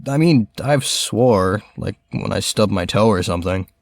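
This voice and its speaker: A man acting a reserved, strait-laced rich high school student in a fan audio drama, polite, understated and hesitant. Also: lively intonation, unhurried, vocal fry, dry recording, exaggerated voice. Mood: earnest.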